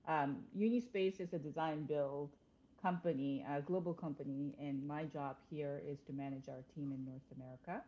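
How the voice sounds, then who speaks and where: A woman's voice: low voice, fairly even intonation, moderate pace, warm tone, highs cut off. A woman in her forties, born in South Korea, talking casually as a podcast guest with frequent fillers and restarts. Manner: conversational, many fillers and restarts, laughs easily. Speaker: interior design principal, podcast guest. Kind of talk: casual interview chat.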